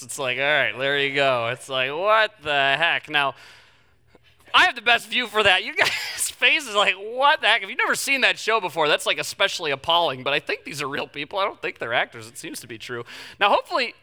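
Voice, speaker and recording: A man's voice: fairly high pitch, bright, forceful tone. A man preaching a casual, conversational sermon to a congregation, chatty and joking with self-deprecating asides. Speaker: pastor. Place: church in front of a live congregation.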